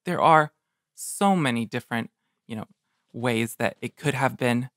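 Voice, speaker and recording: A man on a podcast panel discussing a film casually, with measured, deliberate phrasing. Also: medium pitch, a bit bright, no room sound, clean studio sound.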